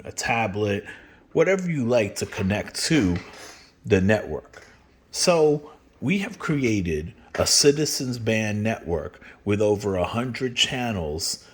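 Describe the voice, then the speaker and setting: Low-voiced man giving an informal product demo. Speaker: company representative presenting a product. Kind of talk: informal product demonstration and sales pitch.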